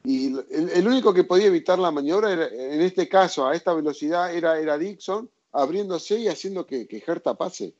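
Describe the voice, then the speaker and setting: A man's voice: fairly high pitch, a little fast, few pauses, a little mellow. Methodical man explaining, lo-fi sound. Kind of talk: casual technical race analysis. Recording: dull lo-fi sound.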